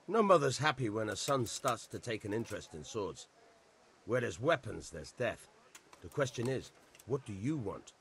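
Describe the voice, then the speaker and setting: An actor voicing a seasoned, brisk and blunt sword instructor in a medieval video game. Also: male voice, fairly low pitch, very animated, fairly bright tone, a little hiss or hum. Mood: frank, encouraging.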